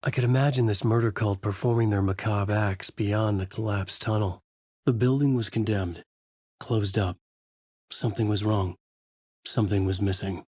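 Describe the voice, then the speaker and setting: An actor playing a haunted, desperate horror writer, narrating in a brooding, intense noir style for a video game. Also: male voice, fairly low pitch, a little mellow, breathy edge, dry recording, studio quality. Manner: brooding, intense, terse delivery. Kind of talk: dramatic first-person noir narration.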